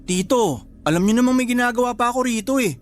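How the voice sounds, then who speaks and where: A man's voice: very high-pitched, animated, quick pace. A man playing a cheeky, skeptical young nephew who teases his uncle in a radio drama. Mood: offhand. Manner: teasing, offhand, shouts to shoo a dog. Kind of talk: Tagalog radio drama acting.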